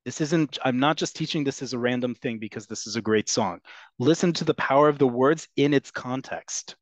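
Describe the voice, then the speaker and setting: A man teaching a Hebrew class on a video call, explaining earnestly with frequent pauses and ums. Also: mid-range voice, no echo. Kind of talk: online class lecture.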